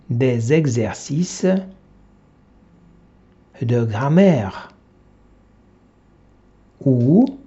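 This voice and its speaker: A male language teacher reads a textbook dialogue aloud in short, separate phrases, leaving long pauses for students to repeat. His delivery is very slow, with an animated, sing-song pitch. The recording sounds dull and lo-fi. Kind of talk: slow dictation-style reading for repetition.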